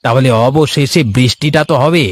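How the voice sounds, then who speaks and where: A man's voice: on the high side, steady tone, normal speed, dry recording, lightly acted. A man playing a compliant village sidekick in a cartoon, talking in a casual, deferential way. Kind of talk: Bengali cartoon story acting.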